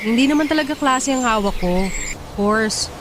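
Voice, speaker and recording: A man voicing a polite young woman teacher in a high put-on voice. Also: lively intonation, normal speed, noisy background. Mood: correcting.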